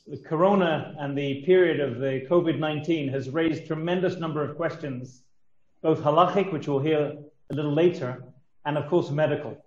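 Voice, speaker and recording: Echoey, halting man introducing speakers. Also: fairly high pitch, a little mellow. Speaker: conference host introducing speakers. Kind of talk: formal introduction speech. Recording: echoey, slightly dull audio.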